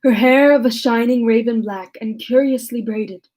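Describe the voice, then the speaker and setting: A woman reading aloud as a lonely male creature who tells his own story in a measured, formal way. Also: a little high, darkish tone, natural voice, low-quality recording. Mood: earnest. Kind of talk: reading a classic novel aloud.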